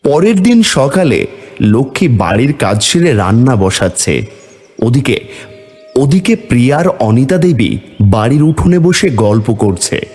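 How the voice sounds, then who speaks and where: A man's voice: mid-range voice, big pitch swings, a little slow, a little mellow, soft background music. A man narrating a cartoon folk tale as a scripted storyteller.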